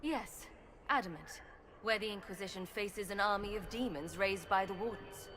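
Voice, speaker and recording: A woman playing a defiant female rebel champion in a video game, forceful and cutting in her delivery. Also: mid-range voice, moderate pace, lightly acted. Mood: indignant. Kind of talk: dramatic video game acting.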